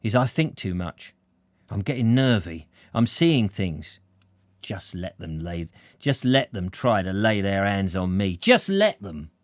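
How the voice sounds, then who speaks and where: A man's voice: low-pitched, animated, a little slow, no echo, studio quality. Man acting out a nervy, defiant young man. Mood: nervy, agitated. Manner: agitated, clipped, blustering slangy talk.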